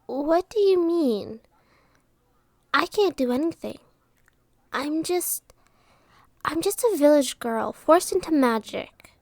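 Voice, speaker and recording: A woman plays a guarded teenage village girl with magic. Her voice is high and she speaks slowly and hesitantly, wary and defiant, in a dry, close audio drama recording. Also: animated, a little mellow, dry recording, exaggerated voice. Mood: unsure, surprised. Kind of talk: scripted audio roleplay acting.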